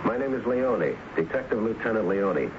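An actor playing a casual, persistent homicide lieutenant in a 1970s radio drama, questioning with hesitant pauses. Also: male voice, lowish voice, very animated. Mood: probing, polite. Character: male police homicide lieutenant, casual, probing, dryly persistent. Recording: muffled, noisy 1970s radio broadcast.

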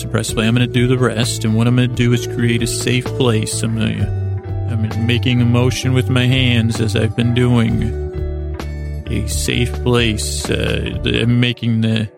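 Creaky-voiced man rambling softly as bedtime host. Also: medium pitch. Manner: meandering, hesitant, self-deprecating, with trailing asides. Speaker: podcast host. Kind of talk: rambling bedtime monologue meant to lull listeners to sleep.

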